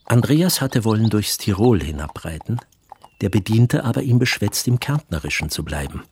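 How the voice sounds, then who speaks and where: A man's voice: lowish voice, very animated, moderate pace, slight background noise. A man narrating a literary radio play as storyteller.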